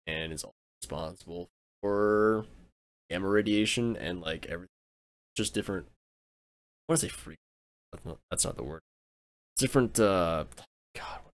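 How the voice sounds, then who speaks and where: A man's voice: low-pitched, normal speed, pauses often, dry recording. A man with an American accent rambling casually to his viewers while livestreaming a game, often restarting and correcting himself. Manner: rambling, self-interrupting, chatting with viewers. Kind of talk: casual gaming livestream chat.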